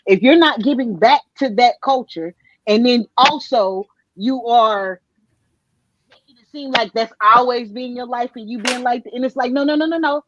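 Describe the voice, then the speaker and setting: A woman's voice: fairly high pitch, normal speed, lo-fi sound, slight background noise. A woman arguing her point in a casual panel chat, emphatic and slangy, often repeating herself.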